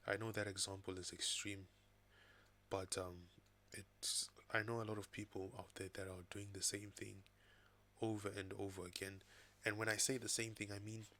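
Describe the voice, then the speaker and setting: A man vlogging casually from his desk at home, raspy from recovering from an illness, thinking aloud in a loose, rambling way. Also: fairly low pitch, little pitch movement, moderate pace, bright, forceful tone. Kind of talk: casual talking-head vlog. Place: home desk with laptop and second screen.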